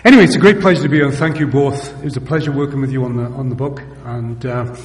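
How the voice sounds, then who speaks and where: A man's voice: medium pitch, quick pace. A middle-aged Welsh man with a Welsh accent, opening his panel talk in a conference hall with wry, self-deprecating remarks. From Wales. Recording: echoey hall with long reverb.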